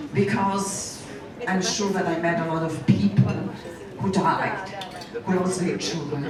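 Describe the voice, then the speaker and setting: An older woman with a Polish accent speaks informally to an audience, poking fun at herself as she goes. She is heard from far off in a heavily echoing hall, with a loud crowd around her. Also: fairly low pitch. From Poland. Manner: self-deprecating, joking. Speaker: event sponsor giving a speech. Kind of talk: informal benefit speech to an audience. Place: large event room with guests at tables. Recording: echoey hall, distant mic, loud crowd.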